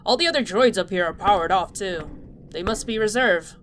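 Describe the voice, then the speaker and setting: Woman acting as bold wisecracking sidekick gal, very animated, sci-fi drama, bit of noise behind. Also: mid-range voice, fairly quick, lightly acted. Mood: practical. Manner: animated, dry quips. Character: bold, practical, wisecracking woman tech-savvy accomplice. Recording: faint noise with vehicles and sirens.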